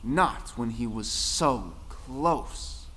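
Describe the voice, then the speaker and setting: A man narrating a folktale audio play with dramatic, expressive storytelling. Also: mid-range voice, extremely slow, full character voice. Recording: long reverb, music underneath.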